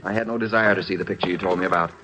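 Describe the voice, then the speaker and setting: A man's voice: deep voice, steady tone, very fast, close to an everyday voice. An American man acting a suspicious, sarcastic middle-aged husband and father in a 1950s radio comedy, dry and hesitant. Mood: dismissive. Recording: dull, very noisy old radio broadcast.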